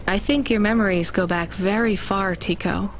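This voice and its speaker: A woman's voice: mid-range voice, normal speed, slight background noise, lightly acted. A woman playing a weary, sardonic young immigrant courier in a video game, with a dry, guarded delivery. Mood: gentle, sympathetic. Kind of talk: video game dialogue acting.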